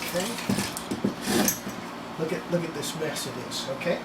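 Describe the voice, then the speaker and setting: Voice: medium pitch, fairly even intonation, normal speed, roomy sound, background noise. An older person narrating hands-on repair work in a casual, folksy way, often muttering to themselves in a small workshop. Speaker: radio restorer presenting own repair. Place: small cluttered indoor electronics workshop.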